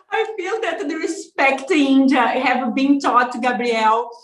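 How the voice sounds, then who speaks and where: A woman from Brazil answering interview questions in hesitant English full of pauses and fillers. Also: high voice, lively intonation. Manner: slow, hesitant, halting English with many fillers. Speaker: interview guest, parent. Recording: roomy echo.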